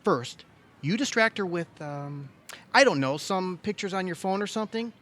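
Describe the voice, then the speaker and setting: A man playing an earnest, hesitant, credulous brother in a comedic audio play, tentative but easily excited. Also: high voice, moderate pace. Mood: eager, anxious. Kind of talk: comedic audio theatre acting.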